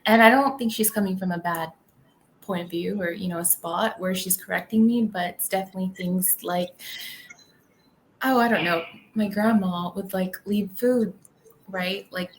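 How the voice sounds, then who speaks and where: A woman's voice: mid-range voice, moderate pace, a little hiss or hum, low-quality recording. A young woman from California whose first language was Tagalog, chatting as a podcast guest and rambling with many fillers. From California, United States. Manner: rambling, heavy on "like" and "um". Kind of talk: casual interview chat.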